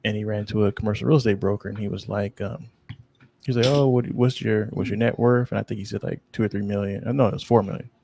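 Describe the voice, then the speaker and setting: A man co-hosting a podcast in casual chat, rambling, low-key and sleepy-sounding, often losing his train of thought. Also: steady tone, fast talker, creaky, mellow, slight background noise. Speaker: podcast co-host.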